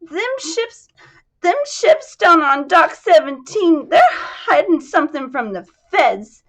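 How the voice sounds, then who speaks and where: A woman's voice: very animated, unhurried, heavily acted. A woman voicing a grieving, indignant widow who talks through sobs and hiccups. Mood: tearful. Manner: sobbing, hiccuping, high and loud. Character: grieving widow from South Jersey, tearful and indignant.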